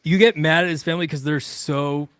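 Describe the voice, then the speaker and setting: A man's voice: a little high, steady tone, brisk, a bit bright, clear, resonant tone, dry recording, studio quality. A man chatting casually as a panelist on a talk show, with a joking, teasing way of talking. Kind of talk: casual chat.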